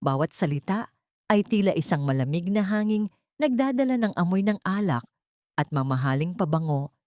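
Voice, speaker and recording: A woman's voice: fairly low pitch, animated, moderate pace, darkish tone, no echo, studio quality. A woman narrating a scripted audio story in a dramatic storytelling style.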